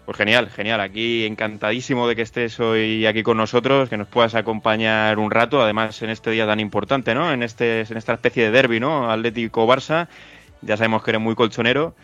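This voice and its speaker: A man with a Spanish accent from Spain hosting a podcast interview, talking in a halting way, with many fillers and repeated words. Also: on the low side, steady tone, fairly bright tone, clear, resonant tone, no echo, dull audio, soft background music. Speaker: podcast host. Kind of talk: casual interview.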